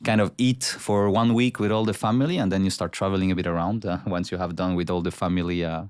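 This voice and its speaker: A man whose first language is Spanish, born in Costa Rica and raised in Switzerland, answering interview questions in a relaxed, measured way with many hesitant fillers. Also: low voice, clear, resonant tone, no echo, studio quality. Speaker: guest, pharma country director.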